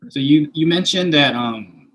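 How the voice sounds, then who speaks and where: Halting man hosting a casual interview. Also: a little high, fairly bright tone. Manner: halting, hesitant phrasing with pauses. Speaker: interview host.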